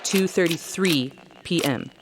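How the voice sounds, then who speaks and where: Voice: mid-range voice, unhurried, noisy background, lightly acted. An actor voicing an impassive announcer of places and times in an audio drama. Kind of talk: scripted scene announcement.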